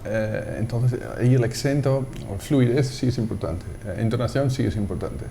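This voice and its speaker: Dutch-accented man, mellow voice. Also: mid-range voice, normal speed, creaky, slight background noise. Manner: relaxed, searches for words while explaining. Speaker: guest, company CEO. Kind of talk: TV studio interview.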